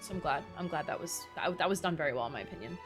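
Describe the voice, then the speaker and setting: Animated young woman streamer. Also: sits low, racing. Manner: slangy, reactive, rambling. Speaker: game streamer. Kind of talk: casual livestream commentary. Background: game music.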